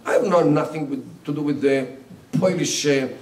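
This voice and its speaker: A man's voice: a little high, darkish tone, a little hiss or hum. An older man whose first language is Yiddish, giving a public lecture at a podium with many fillers and restarts. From Israel. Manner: halting, many fillers and restarts, gestures a lot. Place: lecture hall. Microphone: podium mic. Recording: roomy hall sound from some distance.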